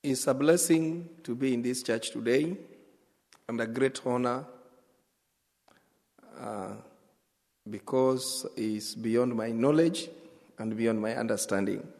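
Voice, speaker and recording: Kenyan guy, first language luo, preaching slow and earnest, lots of pauses, big echoey church. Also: mid-range voice, darkish tone. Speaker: guest pastor. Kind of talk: church testimony. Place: church sanctuary.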